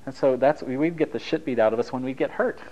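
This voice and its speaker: A man's voice: mid-range voice, fast talker, a little mellow, highs cut off. A man from Italy whose first language is Japanese, leading a taped relationship workshop in a conversational, anecdotal way, joking with the group. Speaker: workshop leader.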